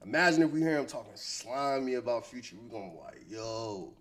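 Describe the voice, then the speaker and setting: A man's voice: mid-range voice, lively intonation, brisk. An American man giving casual, opinionated hip-hop gossip commentary in slangy, conversational speech.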